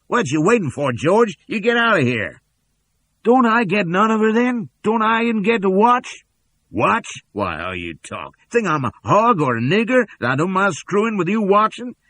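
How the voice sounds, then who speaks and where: A man voicing a coarse, bullying slave catcher in an audiobook, with a rough and domineering delivery. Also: high-pitched, big pitch swings, moderate pace, fairly bright tone, voice pushed up. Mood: leering.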